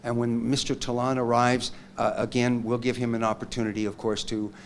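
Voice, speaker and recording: A man's voice: medium pitch, level tone, fairly quick, slight background noise. A man chairing a workshop session, making announcements hesitantly, with many ums and uhs. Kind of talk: formal meeting announcements.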